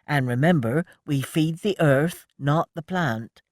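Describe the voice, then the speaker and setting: A reader voicing Tom, a thoughtful, steady young man. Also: sits low, dry recording, studio quality. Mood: earnest. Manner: slow, thoughtful.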